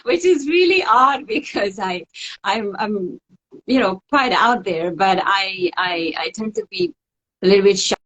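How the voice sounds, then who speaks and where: A middle-aged woman from the Italian part of Switzerland with a Swiss Italian accent, speaking as an interview guest in a reflective, halting way with soft laughs. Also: mid-range voice, lively intonation, highs cut off. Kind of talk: casual interview over a call.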